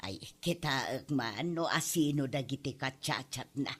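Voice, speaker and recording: A woman playing a jealous, hot-tempered elderly wife in an Ilocano comedy drama, nagging and accusing with drawn-out exclamations in an Ilocano Filipino accent. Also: a bass voice, lively intonation, slightly rough, exaggerated voice. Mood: sly, muttering.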